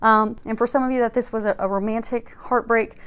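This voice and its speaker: High-pitched woman giving a tarot reading. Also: fairly even intonation, a little fast, fairly bright tone, smooth. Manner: reassuring, encouraging. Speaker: tarot card reader and host. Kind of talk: casual, conversational spiritual tarot reading to viewers.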